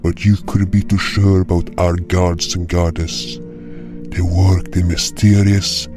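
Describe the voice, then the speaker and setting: First-person fantasy narrator: male actor for dutiful spirit guardian, lowish pitch, measured, solemn delivery over guitar music. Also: level tone. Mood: solemn, reflective.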